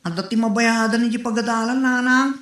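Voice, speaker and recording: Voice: medium pitch, fairly even intonation, normal speed, a bit bright, slight background noise, natural voice. A performer playing a pleading, cash-strapped schoolboy son in a comedy audio drama. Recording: echoey, roomy sound.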